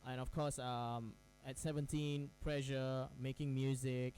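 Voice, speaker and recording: A man's voice: mid-range voice, little pitch movement, measured pace, darkish tone, clean tone. A man hosting a campus radio interview, chatty, laughing often, with lots of fillers and restarts. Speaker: radio host.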